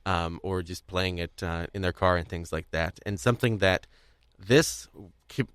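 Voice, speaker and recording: A man hosting a conversational podcast interview, speaking in a halting, rambling way as he searches for words. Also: sits low, a bit bright, clean tone, no room sound, studio quality. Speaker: podcast host.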